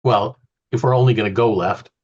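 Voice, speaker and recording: A man's voice: on the low side, steady tone, a little fast, a little mellow, husky, highs cut off. A man playing in an online tabletop game, joking around and talking strategy with his group. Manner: joking, plays with silly accents. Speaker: player. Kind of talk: casual game chat.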